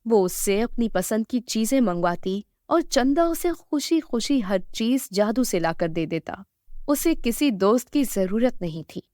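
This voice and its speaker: A woman's voice: fairly high pitch, expressive, a little slow, dark tone, no room sound. Woman narrating a children's story, dry recording.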